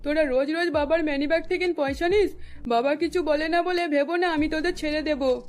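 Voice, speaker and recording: Woman doing a naggy cartoon mom, high voice, always exasperated, faint music behind. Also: fairly even intonation, brisk, close to an everyday voice. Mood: scolding. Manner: nagging, reproachful. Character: scolding, easily exasperated mother of twin daughters. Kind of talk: cartoon story voice acting.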